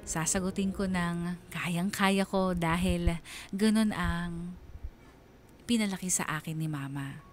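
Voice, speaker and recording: A woman's voice: lowish voice, slow, pauses often, slight background noise. An actress playing a regretful, self-doubting young woman who narrates her own story in a confessional radio drama style. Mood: regretful, reflective. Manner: confessional, reflective. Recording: music bed under the voice. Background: background music.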